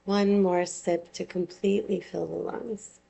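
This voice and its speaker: A woman leads a guided meditation in a fairly low voice with a lot of vocal fry. She speaks very slowly, in soothing phrases with long pauses, as a yoga nidra teacher giving gentle instructions. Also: flat delivery.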